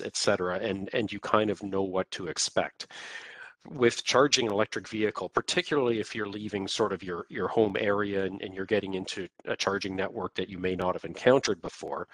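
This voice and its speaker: A Canadian man presents slides in a webinar with a low, deep voice. His delivery is informal and explanatory, with a hesitant, halting flow. The sound is dry, with very little room in it. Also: a bit bright, dry recording, poor audio.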